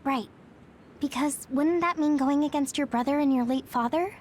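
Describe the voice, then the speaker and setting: A girlish young woman soldier voiced by an actress in a video game. Her voice is very high, and she speaks directly and expressively with concern. A faint rumble sits in the background. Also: normal speed, darkish tone, close to an everyday voice. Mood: questioning. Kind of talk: video game cutscene acting.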